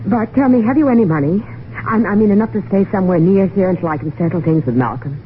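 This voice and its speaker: An actress playing a flustered, evasive wife in an old-time radio mystery, halting and stammering as she pleads. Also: mid-range voice, lively intonation, lightly acted. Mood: anxious, coaxing. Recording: tinny, noisy vintage radio recording.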